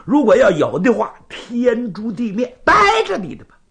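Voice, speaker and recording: A man's voice: extremely high pitch, wildly swooping pitch, vocal fry, pitched above the performer's own voice, heavily acted. A male storyteller voicing a courteous, composed knight-errant who reasons patiently and firmly with his challenger. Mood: earnest. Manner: measured, conciliatory, gently reasoning. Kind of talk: Chinese storytelling performance.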